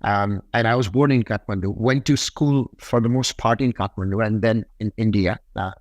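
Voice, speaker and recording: A man's voice: fairly low pitch, no room sound. A man from Nepal with a Nepali accent, a company head telling his story as a podcast guest, with lots of fillers and a mix of English and Nepali. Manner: rapid, many fillers, switches between English and Nepali.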